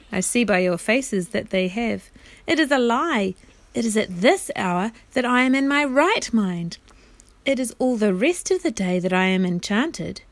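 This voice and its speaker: A desperate, spellbound knight from a storybook, acted by a woman narrator. He implores in courtly old-fashioned phrases, drawing words out in anguish. The voice rises high and is recorded very dry. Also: no echo. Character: anguished bewitched knight, a desperate young man pleading in bonds.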